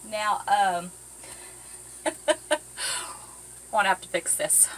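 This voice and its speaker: A middle-aged woman talks her way through a cooking session in a cramped boat galley, loose and rambling, full of ums and little laughs. Her voice is bright and clear, her pace slow and unhurried. She is picked up by a camera set a few feet away. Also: mid-range voice, steady tone, a little hiss or hum, rough recording. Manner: chatty, rambling, many ums and uhs, laughs easily. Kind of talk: casual how-to chat. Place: small boat cabin with galley, indoors. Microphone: fixed camera a few feet away.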